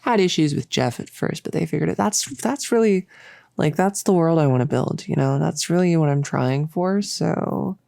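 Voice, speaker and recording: A performer playing an earnest, rambling cultist experimenter in a roleplay audio, with casual, halting, awkwardly optimistic delivery, close to the mic. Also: mid-range voice, vocal fry, a little mellow, dry recording, lightly acted. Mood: friendly, coaxing. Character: earnest, rambling, chirpy cultist scientist, gently bossy. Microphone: close, dry studio-style microphone.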